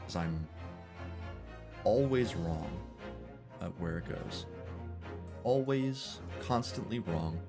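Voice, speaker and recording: A man's voice: deep voice, animated, takes it slowly, long pauses, over music. A man streaming a game, chatting casually and rambling with self-deprecating jokes.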